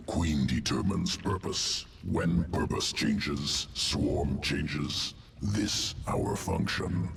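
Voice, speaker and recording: An actor playing an emotionless, analytical alien creature in a video game, guttural and gravelly, talking in clipped phrases that drop small words. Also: male voice, a bass voice, extremely slow, full character voice. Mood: detached. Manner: clipped, article-less speech, detached. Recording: echoey, distant, faint noise.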